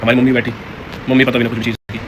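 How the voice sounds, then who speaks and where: A man's voice: medium pitch, little pitch movement, racing. A man vlogger speaking casually and directly to viewers in a rambling, aggrieved way. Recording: clear vehicle noise in background.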